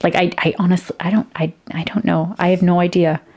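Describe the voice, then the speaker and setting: A woman's voice: fairly low pitch, flat delivery, fairly quick, darkish tone. A woman giving casual reaction commentary, rambling with many restarts and fillers. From United States. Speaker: YouTuber reacting to a video.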